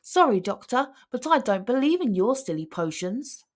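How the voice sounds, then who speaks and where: A woman's voice: a little high, sing-song, normal speed, voice pushed up. A woman voicing a rude, scornful male cactus who sneers, in a children's storybook. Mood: scoffing. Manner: scoffing, sneering.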